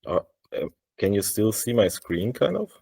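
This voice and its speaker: A man with a German accent presenting a software demo over a video call, speaking haltingly with many fillers and restarts. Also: lowish voice, big pitch swings, gravelly, slightly rough, no room sound, clean studio sound. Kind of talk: live screen-share demo on a video call.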